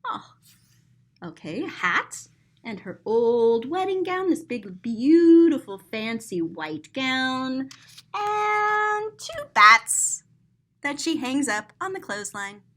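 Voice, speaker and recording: A woman reading a picture book aloud to young children in an expressive, playful way, pausing to explain things simply. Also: sits high. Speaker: storyteller and teacher.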